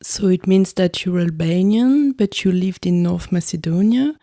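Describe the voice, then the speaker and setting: Creaky, low-pitched woman hosting an interview. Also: animated, unhurried, dark tone, no room sound, studio quality. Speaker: podcast host and interviewer. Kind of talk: scripted introduction and interview questions.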